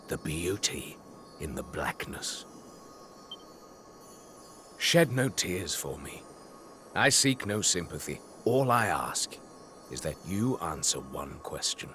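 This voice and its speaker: An actor playing a brooding fallen knight in a video game, bitter yet longing for his old friend, theatrical and measured, dropping into murmurs. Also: fairly low pitch, fairly bright tone, heavily acted. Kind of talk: dramatic video game voice acting. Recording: music behind the voice.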